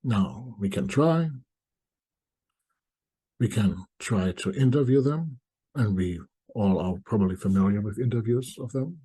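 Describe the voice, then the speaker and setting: A middle-aged man from East Germany, giving reflective spoken commentary to viewers in deliberate short phrases with pauses. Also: lowish voice, lively intonation, dark tone, slightly rough. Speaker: commentator presenting a video essay.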